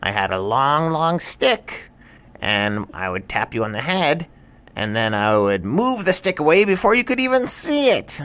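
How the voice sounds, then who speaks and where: Storybook trickster monkey, high and squeaky, teasing; a man plays it. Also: very animated, a little slow, fairly bright tone. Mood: gleeful. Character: playful clever jungle monkey, trickster.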